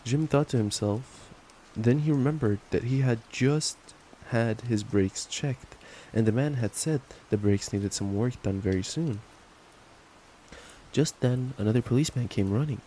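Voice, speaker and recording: Some rain noise sits behind a young man with a mid-pitched voice. He plays an affectionate boyfriend in an ASMR bedtime reading, stumbling playfully and drifting into giggly asides. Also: measured pace, warm tone. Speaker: ASMR roleplay performer playing a boyfriend. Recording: noisy track with ambient rain sounds. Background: rain ambience.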